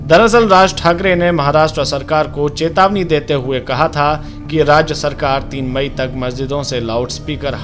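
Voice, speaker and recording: A man reading a scripted news report as a narrator. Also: mid-range voice.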